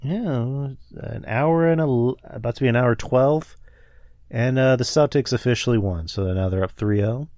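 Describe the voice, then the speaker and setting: A man co-hosting a casual podcast, chatting loosely and joking with plenty of fillers. His pitch sits in the middle range. The recording sounds dry and a little dull. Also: moderate pace, mellow, no echo. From Texas. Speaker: podcast co-host.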